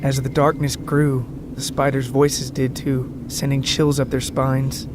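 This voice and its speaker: A man's voice: medium pitch, flat delivery, unhurried, noisy background. A man narrating a scripted podcast story in a dramatic, tongue-in-cheek way. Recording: music behind the voice. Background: background music.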